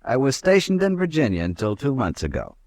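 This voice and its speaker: A man's voice: mid-range voice, wildly swooping pitch, fairly quick, darkish tone, no room sound, clean studio sound. A man playing a proud, arrogant young army lieutenant in a 1950s radio drama, clipped and formal. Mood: haughty.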